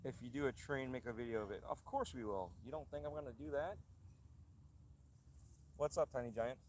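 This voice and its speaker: A man with a cold-hoarse voice, hosting a casual outdoor live stream and reading out viewer comments. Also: medium pitch, brisk, slight background noise. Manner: rambling, chatty, reads out viewer comments. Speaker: channel host. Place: outdoors at a haunted trail attraction. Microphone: handheld camera rig.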